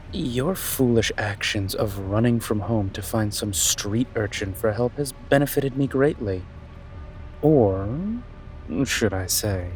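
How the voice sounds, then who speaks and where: A man playing a haughty, calculating young prince in a fantasy audio drama, speaking in a pompous, deliberate, menacing way. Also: on the low side, low-quality recording. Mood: disdainful. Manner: pompous, deliberate, drawn-out delivery. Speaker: voice actor.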